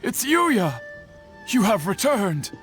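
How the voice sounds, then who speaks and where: An earnest swordsmith in a video game, with a fairly high voice and lively swings of pitch. The delivery is formal and expressive. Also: male voice, slow pace, over music, heavily acted. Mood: delighted relief.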